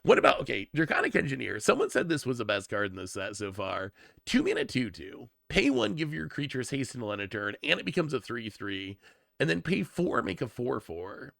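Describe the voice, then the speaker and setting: A man with a gravelly, bright voice that climbs and dips a lot, talking casually on a livestream. His voice is strained and worn from congestion, and he often repeats himself while thinking aloud. The sound is dry and close. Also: mid-range voice, huge pitch swings, brisk, no echo. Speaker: streamer reviewing card spoilers.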